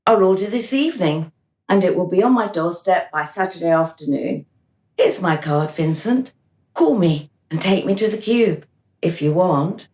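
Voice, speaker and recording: An actress playing a composed, wry reincarnation official in a radio play. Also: female voice, fairly low pitch, lively intonation, normal speed, a little mellow, lightly acted. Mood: wry, flirtatious. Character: brisk, composed female reincarnation official, playfully flirtatious. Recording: roomy, echoing radio play recording.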